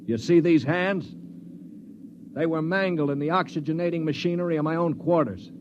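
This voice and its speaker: Male actor as weary man of principle, earnest, deliberate delivery, 1950s radio drama, muffled and very noisy recording. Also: on the high side, natural voice. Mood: weary, grave. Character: principled, weary injured man, defender of alien enemies.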